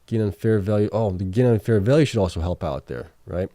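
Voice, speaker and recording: A man with a lowish, mellow voice hosts a late-night livestream and works through figures out loud, stopping often to correct himself. His voice is starting to wear out. The sound is dry and close, with no room echo. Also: brisk. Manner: thinks aloud, many fillers and self-corrections; reads out viewer chat questions. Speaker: livestream host. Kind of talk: casual livestream chat reading financial results.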